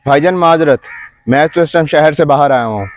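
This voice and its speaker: An actor playing an evasive younger brother making excuses over a phone call in an audio drama. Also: male voice, on the high side. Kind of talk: Urdu moral audio drama acting. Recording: dull phone-call sound.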